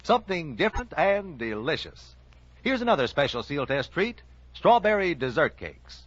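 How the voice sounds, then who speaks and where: A man's voice: on the high side, big pitch swings, normal speed, no room sound. An American man reading scripted sponsor copy as an announcer on a 1940s radio broadcast. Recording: dull vintage 1940s radio recording, faint music.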